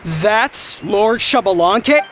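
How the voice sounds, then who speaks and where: A woman's voice: mid-range voice, expressive, drawn-out words, a bit bright, slight background noise. A woman voicing an incredulous onlooker in a video game scene. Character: incredulous onlooker in a tournament crowd.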